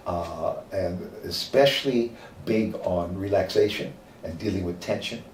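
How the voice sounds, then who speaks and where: An older man with a Midwestern accent, recalling his acting training in an oral history interview, reflective and halting with many fillers. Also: low voice. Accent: Midwestern American. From American Midwest. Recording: roomy distant mic with faint noise.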